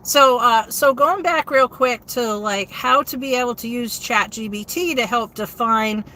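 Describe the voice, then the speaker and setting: A woman hosts a relaxed livestream, chatting with viewers and answering their comments. Her voice sits a little high and sounds bright and forward. She keeps a steady, fairly flat delivery dotted with ums. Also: measured pace, rough recording. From New England. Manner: steady, low-inflection delivery with frequent ums. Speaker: livestream host. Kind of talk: casual livestream chat, reading viewer comments.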